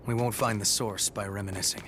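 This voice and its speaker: A man playing a gruff, practical soldier companion in a video game, matter-of-fact in his delivery. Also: lowish voice, fairly even intonation, a little fast, noisy background, lightly acted. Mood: brisk, wistful. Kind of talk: English video game voice acting.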